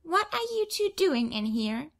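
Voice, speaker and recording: Woman putting on a squeaky, excitable tiny girl. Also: a little slow, creaky, heavily acted. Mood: bossy. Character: tiny bossy, excitable little fairy-loving sister girl. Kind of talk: audiobook character voice.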